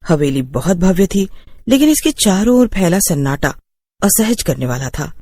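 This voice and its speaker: A woman's voice: fairly low pitch, very animated, normal speed, darkish tone, no echo, clean studio sound. A woman reading scripted horror story narration as a storyteller.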